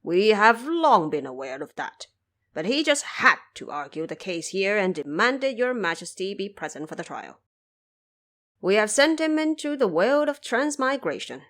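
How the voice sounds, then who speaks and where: A woman's voice: medium pitch, sing-song, moderate pace, a bit bright. A woman voicing the solemn, courteous male ghost kings of the underworld in a formal, declamatory storybook style. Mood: stately, commanding.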